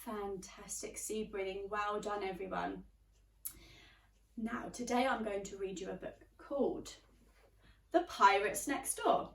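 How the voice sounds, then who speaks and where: A woman teacher reading a children's storybook aloud, expressively addressing young listeners. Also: mid-range voice. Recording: roomy, slightly distant microphone.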